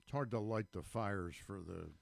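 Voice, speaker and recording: A man's voice: fairly low pitch, moderate pace, vocal fry, dry recording. A man talking conversationally as a commentator on a sports radio show in a studio.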